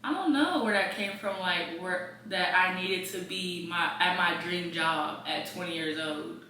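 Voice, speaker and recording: Young woman, bright voice, very echoey room. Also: fairly low pitch, measured pace. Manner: candid, repeats phrases for emphasis. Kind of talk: casual chat. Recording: roomy echo, distant mic, faint noise.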